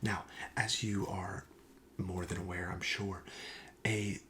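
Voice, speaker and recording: A man playing a friendly, reassuring card shop clerk in a soft-spoken ASMR roleplay, breathy and often near a whisper, with casual, hesitant sales patter. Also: low voice, level tone, slow pace, slight background noise. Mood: calm, explanatory.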